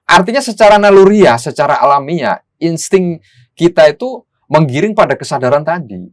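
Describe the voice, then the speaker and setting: A man's voice: fairly high pitch, expressive, normal speed, no room sound, studio quality. A man giving analytical political commentary as a guest, explaining things in formal, academic vocabulary.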